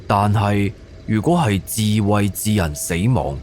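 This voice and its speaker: Man playing a low-voiced, commanding police captain. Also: a little slow, a little mellow, faint music behind, lightly acted. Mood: firm, persuasive. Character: authoritative middle-aged male police captain, firm and persuasive. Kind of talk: Cantonese audio drama acting.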